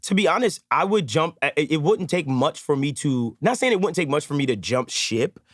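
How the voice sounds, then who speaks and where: A man with a New York accent speaks as a guest in a casual podcast chat. His voice sits a little high and he tells things in an animated, slangy way. The studio sound is dry and close. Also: moderate pace, no room sound. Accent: New York American. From Brooklyn, New York, USA. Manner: animated storyteller, slangy, acts out quoted remarks. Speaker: podcast guest, mixing engineer and content creator.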